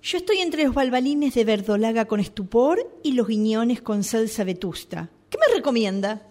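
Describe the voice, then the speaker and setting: A woman plays a genteel Argentine lady out to dine in a radio comedy. Her voice is fairly high, affected and picky, and she orders decisively. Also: lively intonation, normal speed, a little mellow, close to an everyday voice. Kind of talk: comic radio theatre acting.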